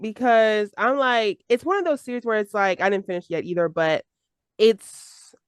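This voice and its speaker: A woman's voice: mid-range voice, normal speed, smooth, dry recording, clean studio sound. A woman hosting a casual podcast chat, talking with lots of fillers and easy laughter. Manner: chatty, laughing, filler-heavy. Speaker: podcast host.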